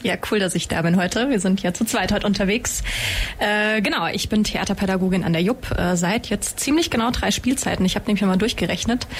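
A woman in her thirties with a relaxed, informal manner and an upbeat tone. Her voice sits at a middle pitch, with faint noise behind it. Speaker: head of theater education. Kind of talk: casual radio chat.

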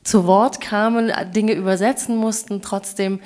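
A woman's voice: mid-range voice, steady tone, measured pace, darkish tone. Woman hosting with formal thanks. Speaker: event host. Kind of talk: thanking speech at a public event.

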